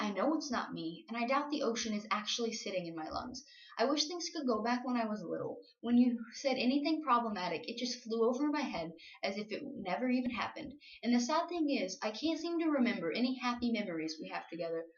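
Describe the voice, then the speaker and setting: A woman's voice: fairly high pitch, expressive, a little fast. A young adult woman performing spoken-word slam poetry with an earnest, emotional, confessional delivery. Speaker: slam poet. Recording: roomy, dull lo-fi home recording.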